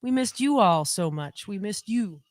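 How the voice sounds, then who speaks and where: A woman co-hosting a casual home livestream podcast on a desk mic, talking in a rambling, chatty way and repeating herself, her voice lower than usual today. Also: expressive, unhurried, no room sound, studio quality. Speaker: podcast co-host.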